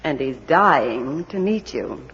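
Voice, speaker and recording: Sly low-voiced radio femme fatale. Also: female voice, lively intonation, in a large hall, loud music behind the voice. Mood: sly, commanding. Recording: dull lo-fi vintage radio recording.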